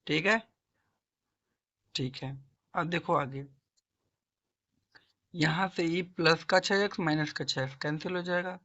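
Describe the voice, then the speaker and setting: Hoarse guy tutoring math, kinda high voice, dull lo-fi sound. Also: measured pace, long pauses, pushed and bright. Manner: coaxing, asks and answers own questions, clears throat. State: hoarse, sore throat. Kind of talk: classroom-style math lesson.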